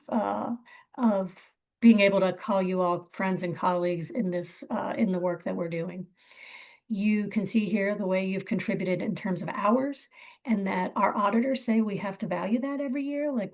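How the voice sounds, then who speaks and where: Female nonprofit leader, gravelly edge, informal and appreciative presenting style. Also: mid-range voice, a little mellow. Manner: appreciative, thanking. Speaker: nonprofit chief executive presenting.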